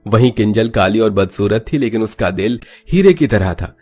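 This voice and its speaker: A man narrates a scripted moral story as its storyteller. His voice sits a little low, and music plays faintly behind him. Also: lively intonation, normal speed, darkish tone.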